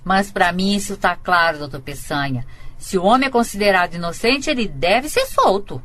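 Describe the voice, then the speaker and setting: A woman's voice: mid-range voice, animated, normal speed, fairly bright tone, a little hiss or hum, natural voice. An actress playing a blunt, bossy Brazilian grandmother who runs the household in a radio soap. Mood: matter-of-fact. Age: older. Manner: scolding, blunt, motherly. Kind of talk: radio soap opera acting.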